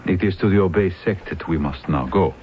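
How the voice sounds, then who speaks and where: An actor playing a commanding, persistent police lieutenant in a radio drama, with a French accent and deliberate, formal phrasing. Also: male voice, low-pitched, lightly acted. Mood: grave, scheming. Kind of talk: vintage radio drama acting. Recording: dull, noisy old radio recording.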